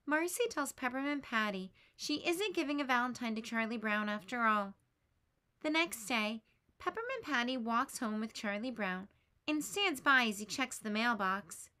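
A woman teacher reading a children's picture book aloud, expressive and lifting her voice for the characters' lines. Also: normal speed. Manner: expressive, lifts pitch for quoted lines.

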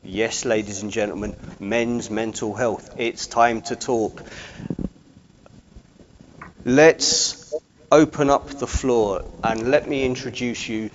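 A man in his forties hosting a talk show from a studio desk on a lapel mic, with slow, emphatic presenter delivery. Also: medium pitch, fairly even intonation, muffled recording, slight background noise. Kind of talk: broadcast interview hosting.

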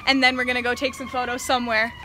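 A woman's voice: on the high side, level tone, fast talker, background noise. A young woman vlogging casually with friends, bubbly and joking, filmed on a handheld phone. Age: young adult. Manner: bubbly, jokey, reads off outfits. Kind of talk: casual vlog chat. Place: apartment and car.

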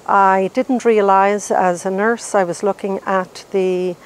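A woman's voice: medium pitch, steady tone, slow pace. A woman, a registered nurse giving an earnest outdoor testimonial on a lapel mic. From Ireland. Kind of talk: testimonial interview. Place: outdoors on a wooded lawn. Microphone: clip-on lapel mic.

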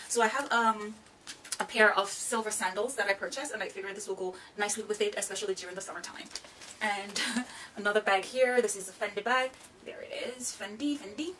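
A woman's voice: mid-range voice, far from the mic, a little hiss or hum, poor audio. Bubbly Jamaican woman showing thrift finds. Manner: chatty, excitable, giggly asides. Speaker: fashion vlogger. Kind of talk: casual thrift haul vlog. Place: small bright room, seated on the floor. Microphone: camera mic from a few feet away.

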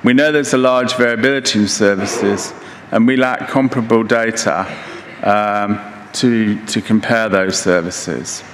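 A man in his sixties giving a conference keynote lecture in a measured, halting way, pausing mid-phrase. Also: on the low side, steady tone. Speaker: keynote speaker. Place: large conference hall stage, indoors. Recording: echoey hall with reverb, background noise.

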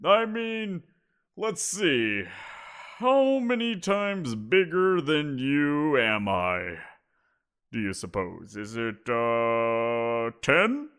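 A man's voice: mid-range voice, sing-song, very slow, fairly bright tone, smooth, full character voice. A man voicing a giant wolf creature in an audio roleplay, teasing and amused, chuckling as he talks. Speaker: voice actor.

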